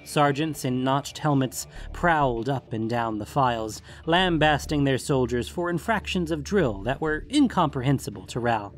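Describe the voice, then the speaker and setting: A man's voice: medium pitch, lively intonation, normal speed, few pauses. A man reading scripted fantasy audiobook narration, with music playing behind him.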